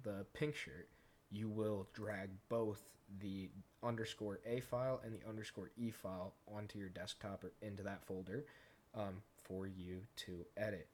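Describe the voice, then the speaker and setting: A man hosting a software tutorial, explaining each step informally in a casual, conversational way. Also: on the low side. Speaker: tutorial host. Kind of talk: casual screen-recorded software tutorial narration.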